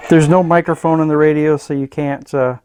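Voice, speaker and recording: A man reviewing a gadget in a casual, unscripted way, with a hesitant, halting and rambling manner. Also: a little high, steady tone, music in the background. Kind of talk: casual unscripted product demonstration.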